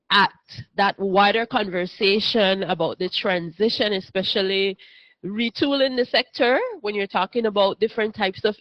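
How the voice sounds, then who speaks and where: A woman moderating a seminar panel, summarizing points in a measured way and steering the discussion. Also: medium pitch, no echo, rough recording.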